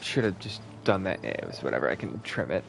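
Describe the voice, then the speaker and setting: A man's voice: deep voice, very animated, fast talker, creaky. A man streaming a game, making casual, sarcastic asides and swearing now and then. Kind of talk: casual live gameplay commentary. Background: game music.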